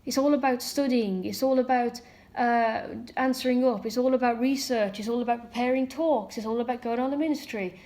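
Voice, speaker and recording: A woman's voice: a little high, a little fast, warm tone. A woman from Ireland talking candidly in a casual monologue, using frequent fillers and mocking asides. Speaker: ex-member commentator.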